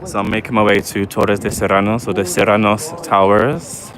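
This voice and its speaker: A man's voice: fairly low pitch, flat delivery, normal speed, a crowd in the background. A man walking city streets at night, chatting wryly to his livestream viewers. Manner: chatty, wry, talks to live chat. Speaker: livestream vlogger. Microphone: handheld phone or camera mic while walking. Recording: loud street noise behind. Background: traffic and street ambience.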